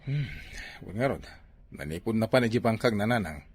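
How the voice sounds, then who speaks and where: A man's voice: on the low side, lively intonation, normal speed, darkish tone, slightly rough, soft background music. A man with an Ilocano accent playing a responsible, exasperated older brother in a comedy drama, sighing and admonishing. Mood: disapproving.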